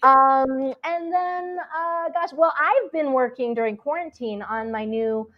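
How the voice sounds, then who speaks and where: A woman's voice: high-pitched, unhurried, lo-fi sound. A woman actress chatting as an interview guest, talking freely with many fillers and restarts. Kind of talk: casual interview chat.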